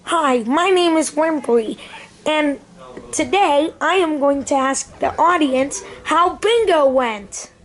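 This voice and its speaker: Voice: sits high, slow. A performer heard as a woman, voicing a cheerful, eager puppet interviewer in playful on-the-spot questioning. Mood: chirpy, eager. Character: cheerful puppet interviewer and camp chef, high-voiced. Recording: chatty crowd behind, amateur camera.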